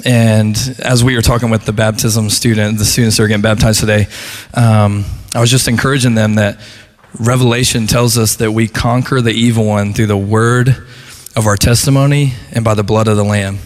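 A man serving as a student pastor speaks earnestly in church, pausing often with fillers. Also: lowish voice, fairly even intonation. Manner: earnest, hesitant with many ums. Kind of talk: casual church testimony. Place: church sanctuary. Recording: long hall reverb.

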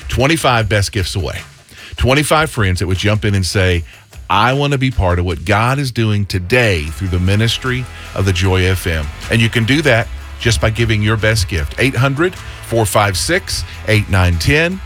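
A man's voice: lowish voice, expressive, moderate pace, a bit bright, faint music behind. A man hosting a radio fundraiser, upbeat, rattling off donor names and urging listeners to give. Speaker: radio host. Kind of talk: fundraising appeal.

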